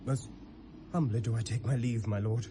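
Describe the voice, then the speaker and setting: A man's voice: medium pitch, fairly even intonation, moderate pace, warm tone, close to an everyday voice. A British man playing an earnest young nobleman, a protective brother, with theatrical classic Shakespearean radio delivery. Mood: fond farewell. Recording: hissy vintage radio drama recording.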